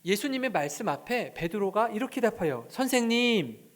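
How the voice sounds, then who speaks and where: Male pastor, high and very lively voice, animated storyteller who plays out scenes in his sermon. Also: normal speed. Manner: animated storytelling, asks the congregation questions; acts out scripture scenes with voices.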